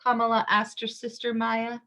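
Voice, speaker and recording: A woman's voice: on the high side, monotone, normal speed, sharp tone. A woman reading a picture book aloud to young children, explaining things simply and emphatically and asking her listeners questions.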